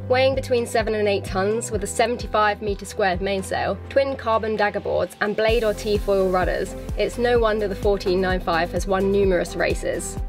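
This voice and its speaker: A young woman hosting a sailing vlog, chatting excitedly on board a catamaran and narrating, laughing between phrases. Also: mid-range voice, normal speed, hardly any pauses, over music. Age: young adult. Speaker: vlog host. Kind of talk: casual vlog narration and chat.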